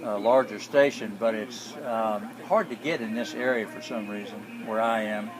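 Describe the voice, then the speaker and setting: A man giving a casual, unscripted demonstration as a hobbyist, speaking in a plain, halting way. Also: fairly low pitch, steady tone, music in the background, poor audio. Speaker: hobbyist radio restorer. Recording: clear background noise with hum.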